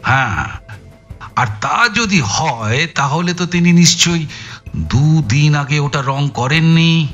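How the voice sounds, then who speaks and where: An actor playing an exhausted, frustrated police detective in an audio thriller, with a halting, emphatic way of telling things. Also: male voice, a little high, lively intonation, a bit bright, dull audio, soft background music, exaggerated voice. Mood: intent, explaining. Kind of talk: dramatized audio thriller acting.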